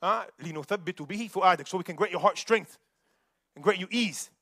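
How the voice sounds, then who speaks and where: A young man giving a Friday sermon in a mosque, preaching in an animated way and mixing recited verses with casual asides. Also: high voice, very fast, breathy edge, dry recording, clean studio sound. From United States.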